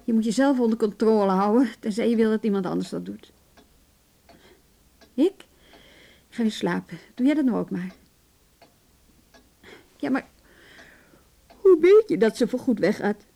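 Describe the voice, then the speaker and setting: A woman's voice: on the high side, expressive, moderate pace, gravelly, pauses often, dark tone. An actress playing a wry, weary, no-nonsense professional woman in a naturalistic radio drama, speaking in a conversational, hesitant way. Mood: irritated, sleepy.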